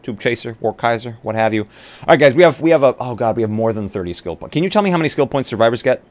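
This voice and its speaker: A man's voice: mid-range voice, lively intonation, rapid-fire. A man chatting casually on a tabletop roleplay livestream, thinking aloud and bantering with some profanity. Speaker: tabletop roleplay streamer and player. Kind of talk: casual livestream chat while building a game character. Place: live online game stream. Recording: clear background noise.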